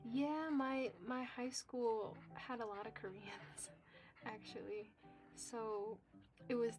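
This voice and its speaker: Giggly young woman chatting slowly. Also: on the high side, steady tone, music in the background. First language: Cantonese. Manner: chatty, giggly, reads out and answers viewer comments. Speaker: live-stream coloring host. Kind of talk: casual chat with live chat readers.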